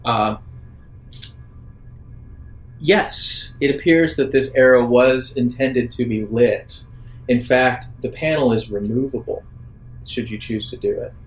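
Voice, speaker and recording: Man narrating a tabletop game, halting phrasing. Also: medium pitch, rough recording. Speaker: game master.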